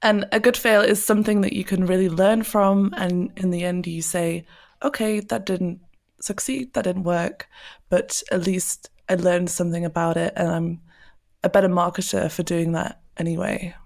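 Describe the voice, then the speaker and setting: Woman cohost with a gravelly creak. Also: lowish voice, fairly even intonation, normal speed, a little mellow. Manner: playful, teasing. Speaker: podcast co-host. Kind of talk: casual podcast chat. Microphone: podcast microphone over video call.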